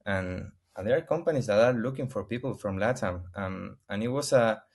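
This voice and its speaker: Argentine guy, spanish is his first language, low voice, keeps restarting and hunting for english words. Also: a little mellow, breathy edge. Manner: halting, searching for English words with many restarts. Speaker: interview guest. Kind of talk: casual interview answers.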